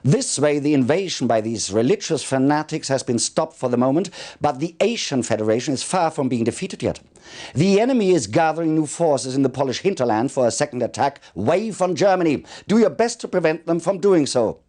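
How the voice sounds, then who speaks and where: An actor playing a formal military commander, giving measured, deliberate mission briefings in a video game cutscene. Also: male voice, on the high side, animated, warm tone, close to an everyday voice. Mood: businesslike.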